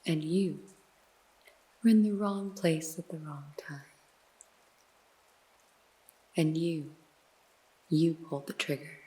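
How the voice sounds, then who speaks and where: An actress playing a sly woman detective in a noir ASMR roleplay, questioning a suspect in a slow, teasing, mostly whispered voice. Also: low voice, warm tone, low-quality recording. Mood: teasing, probing.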